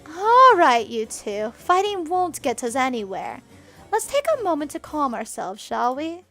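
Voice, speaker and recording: A woman voicing a calm, poised maid who speaks smoothly and gently scolds. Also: high voice, a little slow, soft background music, heavily acted. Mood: soothing. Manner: smooth, soothing, genteel.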